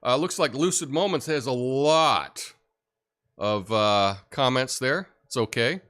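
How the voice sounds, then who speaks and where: Deep-voiced man chatting casually. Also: slow. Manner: rambling, relaxed, many fillers and restarts. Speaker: livestream host. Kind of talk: casual livestream chat reading comments.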